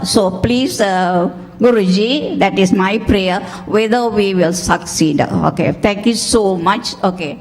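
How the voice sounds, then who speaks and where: Sri lady asking a question from the floor, slow, lots of uhs, a bit high. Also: darkish tone, poor audio. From Sri Lanka. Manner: halting, with many fillers and searched-for words. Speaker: audience member asking a question. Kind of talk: public question at a spiritual gathering. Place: temple hall.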